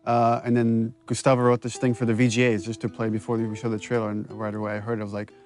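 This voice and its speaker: A man's voice: medium pitch, completely flat delivery, fast, a little mellow, faint music behind. A man giving casual, explanatory commentary as a game director, in a conversational way. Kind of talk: casual behind-the-scenes commentary.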